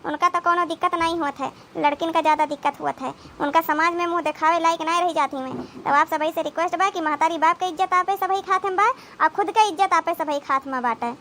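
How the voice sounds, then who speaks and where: A woman's voice: very high voice, fairly even intonation, a little fast, hardly any pauses, pushed and bright, a little hiss or hum, exaggerated voice. A woman playing a scolding but protective village sister-in-law in an Awadhi comedy cartoon, exclamatory and rustic. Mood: earnest advising. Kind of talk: dramatic comedy cartoon dialogue.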